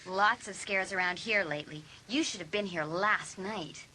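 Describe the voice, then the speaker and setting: A woman's voice: medium pitch, normal speed, bright, forceful tone, poor audio. A woman playing a resentful, indignant teenage girl in a dramatised audiobook. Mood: scheming.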